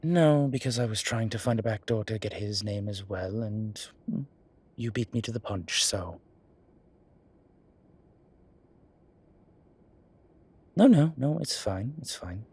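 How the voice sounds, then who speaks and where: A man playing a fond, teasing vampire deacon in an ASMR roleplay, with a breathy voice and theatrical, sardonic phrasing. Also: lowish voice, animated, normal speed, darkish tone. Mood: wry, sulky. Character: male vampire deacon, fond, teasing, exasperated, protective, dryly witty. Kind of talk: ASMR roleplay audio drama addressed to the listener.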